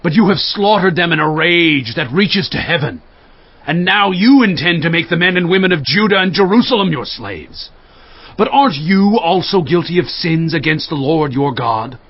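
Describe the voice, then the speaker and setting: A stern, indignant prophet, declaims in a husky, higher-set male voice with a gravelly edge. The delivery is forceful scripture drama, on slightly dulled audio. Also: expressive, moderate pace, muffled recording. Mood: righteous anger.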